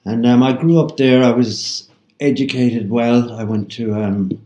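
A man's voice: mid-range voice, steady tone, unhurried, warm tone. A man in his sixties from Ireland with an Irish accent, reflecting unhurriedly as a guest in a casual radio interview, with many fillers. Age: 60s. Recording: roomy, dull lo-fi sound.

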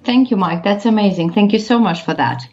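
A woman's voice: medium pitch, brisk, darkish tone. A woman hosting a radio interview, asking polite, hesitant questions. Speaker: radio host. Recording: dull lo-fi radio sound with faint music.

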